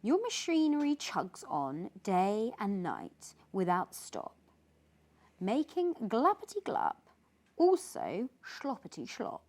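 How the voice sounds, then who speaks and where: A woman's voice: medium pitch, huge pitch swings, slow, darkish tone, exaggerated voice. A woman voicing an indignant, weary forest guardian creature who scolds in emphatic rhymes for a children's storybook. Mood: indignant scolding. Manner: emphatic, exclamatory, rhythmic rhyming delivery. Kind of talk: children's storybook read-aloud.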